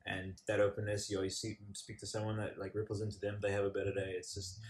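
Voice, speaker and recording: Brief: male podcast host from Australia, enthusiastic, rambling, slightly low voice. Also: monotone, fast, slight background noise. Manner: rambling, enthusiastic, many restarts and tangents. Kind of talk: casual interview chat.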